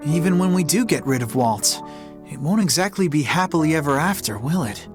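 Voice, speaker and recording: A woman's voice: sits low, animated, brisk, music in the background. A woman voicing a brash, determined teenage boy hero in a video game, blunt and casual in manner. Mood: curious. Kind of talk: animated video game voice acting.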